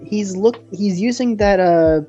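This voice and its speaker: A woman's voice: mid-range voice, a little slow, mellow, breathy edge, soft background music. A woman chatting with the author and teasing her. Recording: dull lo-fi sound.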